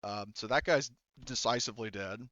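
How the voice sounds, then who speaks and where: A man acting as game master, narrating a tabletop sci-fi horror game in a casual, halting way, thinking aloud. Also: lowish voice, a bit bright, no echo, lo-fi sound.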